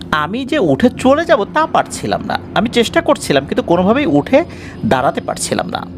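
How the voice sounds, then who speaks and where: A man's voice: high voice, noisy background. A man narrating a scripted first-person ghost story in a dramatic way.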